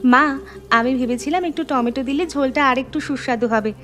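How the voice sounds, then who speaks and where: A woman's voice: high-pitched, a little fast, a bit bright, soft background music. A woman playing a young Bengali daughter-in-law in a cartoon, caring, earnest and respectful, eager to please. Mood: apologetic. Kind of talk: animated moral story voice acting.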